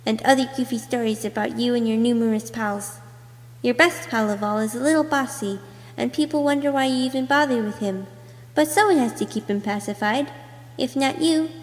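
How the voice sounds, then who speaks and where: A woman reading scripted second-person narration as an amateur voice actor, in a performed storytelling way. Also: a little high. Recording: echoey, long room reverb.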